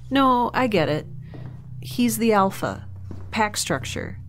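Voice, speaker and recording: A woman's voice: mid-range voice, very animated, slow pace, fairly bright tone, a little hiss or hum. A woman playing a blunt, naive young scientist with a sarcastic, matter-of-fact manner in a naturalistic audio drama. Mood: curious, blunt.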